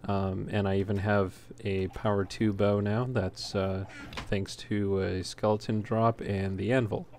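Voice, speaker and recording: A man's voice: fairly low pitch, little pitch movement, unhurried, darkish tone. A man hosting a gaming video with casual, unscripted walkthrough commentary, speaking a little hesitantly. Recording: noisy home recording, clear background noise.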